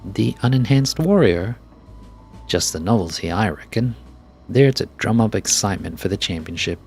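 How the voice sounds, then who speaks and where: Snooty, bored arena fan for a game, voiced by a man: disdainful, slightly gravelly, light music behind. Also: on the low side, animated, normal speed, a little mellow. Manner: snooty, dismissive. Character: haughty, jaded fight fan, bored by novelty. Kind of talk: scripted game dialogue read aloud.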